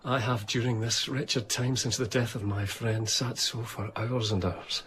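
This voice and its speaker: An actor playing a devoted, melancholy eighteenth-century gentleman biographer, narrating in earnest, literary first person. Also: mid-range voice, steady tone, a little slow, slight background noise, lightly acted. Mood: melancholy, reflective.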